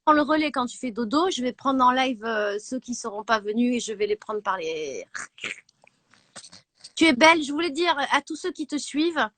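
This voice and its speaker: A woman's voice: high-pitched, lively intonation, moderate pace, a bit bright, no echo. A woman in her thirties, a guest on a live stream, joining by video call and rambling jokingly in a mock-formal way. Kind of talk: casual chat.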